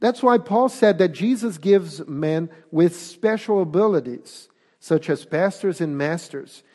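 A man with a Portuguese accent preaching a sermon, speaking deliberately and emphatically with pauses. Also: sits high, a little mellow. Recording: long reverb of a hall.

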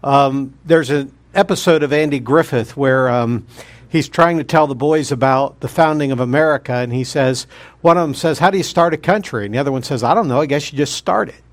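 A man's voice: mid-range voice, fairly quick. A man, a guest preacher opening his sermon in a jokey, folksy manner.

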